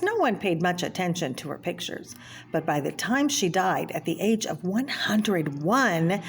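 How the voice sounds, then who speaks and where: A woman reading a children's book aloud in a plain, explanatory way, as if to young listeners. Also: lowish voice. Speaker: reader of a children's art book. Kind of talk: read-aloud narration with brief personal asides. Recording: clear background noise, homemade read-aloud video.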